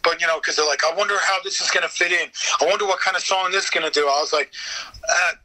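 A man chatting casually as a podcast guest, animated and talkative, with some swearing. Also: sits high, quick pace, low-quality recording. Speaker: guest, voice actor.

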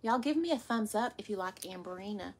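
A woman's voice: medium pitch, animated, brisk, slightly rough. A woman, chatting to viewers as she unboxes thrift finds in an indoor workroom. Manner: chatty, addresses viewers as "y'all". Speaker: vlog host. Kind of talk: casual haul unboxing.

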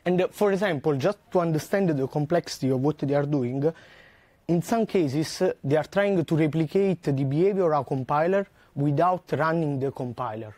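A man, a researcher giving a conference talk in a lecture hall, earnest and full of "uh" pauses. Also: on the high side, a little mellow. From southern Italy. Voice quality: frequent "uh" fillers and restarts, earnest.

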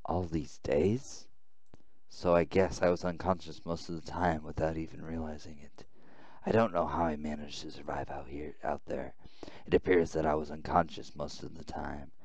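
A man reading a visual novel aloud as a weary, confused young male prisoner, subdued and halting. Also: very deep voice, level tone, creaky, a little mellow, voice pushed down, exaggerated voice.

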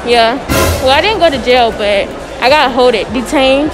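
A woman's voice: high-pitched, unhurried, over music, crowd noise, low-quality recording. A young woman giving short, hesitant answers in a mall interview. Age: young adult. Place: indoor shopping mall. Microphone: interviewer's handheld phone.

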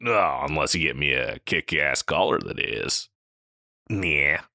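A man playing a scruffy, insecure slacker catboy pet in a comedic audio roleplay, with a halting, mumbly dude drawl. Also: very deep voice, bright, forceful tone, no echo, clean studio sound, exaggerated voice. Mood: laid-back, teasing.

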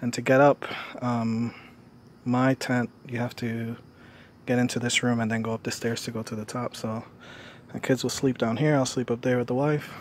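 A man's voice: medium pitch, level tone, moderate pace, a little hiss or hum. A middle-aged man casually showing his homemade camping trailer, talking in a loose, rambling way with many fillers, filmed outdoors on a handheld phone. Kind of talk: casual walkthrough. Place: outdoors on a residential lawn. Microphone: phone camera held in hand.

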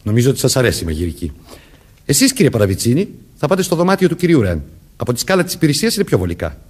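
A man's voice: mid-range voice, very animated, breathy edge. An actor playing a murderer who poses as a commanding policeman, vengeful and childlike underneath, in a theatrical radio drama manner. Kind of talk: Greek radio drama acting.

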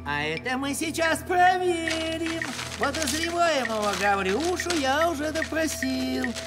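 A man's voice: very high-pitched, very animated, unhurried, music in the background, heavily acted. A man voicing a pompous, fussy older village postman in a cartoon, officious and theatrical in his delivery. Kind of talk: animated cartoon acting.

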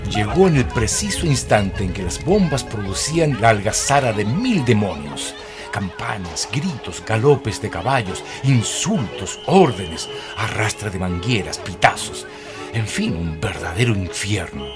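A man's voice: fairly low pitch, big pitch swings, unhurried, over music. A Chilean man narrating a wry radio play in a dramatic storytelling style.

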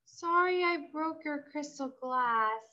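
A woman's voice: high-pitched, takes it slowly, dull audio, exaggerated voice. A woman voicing an eager, clumsy little girl with a childish, chatty manner in a storybook. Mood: sheepish. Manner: childish, excitable, ungrammatical.

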